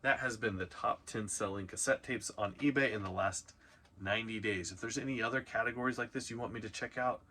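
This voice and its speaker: A man with a fairly low, bright and forceful voice presents a countdown like a video host. He talks informally and leans hard on key items. There is some noise in the background of the recording. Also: brisk. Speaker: host of a reselling video channel. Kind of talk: casual countdown commentary to camera.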